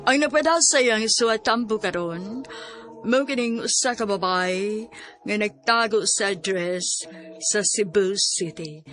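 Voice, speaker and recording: Slow-paced woman radio drama announcer over music. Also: medium pitch. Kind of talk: scripted dramatic radio introduction.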